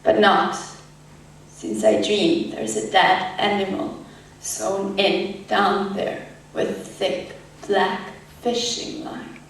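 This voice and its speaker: A woman playing a haunted, traumatised war survivor in a poetic stage monologue, with halting, fragmented phrasing. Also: mid-range voice, little pitch movement. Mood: haunted, subdued. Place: echoey indoor performance space, distant mic. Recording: echoey hall from far off, faint noise.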